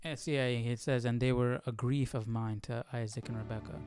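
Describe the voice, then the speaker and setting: A man's voice: medium pitch, steady tone, moderate pace, a little mellow, faint music behind. A man hosting a casual Bible study, musing and questioning as he reads. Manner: musing, questioning, thinking aloud. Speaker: host of Bible study stream. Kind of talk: casual chat, reading and commenting.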